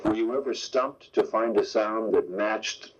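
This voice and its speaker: A man's voice: lowish voice, measured pace, slight background noise. A man hosting a radio show, putting questions to a guest in a broadcast interview. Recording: dull lo-fi radio broadcast tape.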